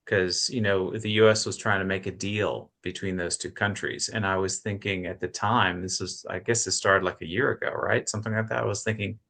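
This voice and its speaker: A man's voice: deep voice, fairly even intonation, a little fast, gravelly, hardly any pauses, pushed and bright. A man hosting a live panel discussion, directly steering it on to the next questions. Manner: direct, moves things along. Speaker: host.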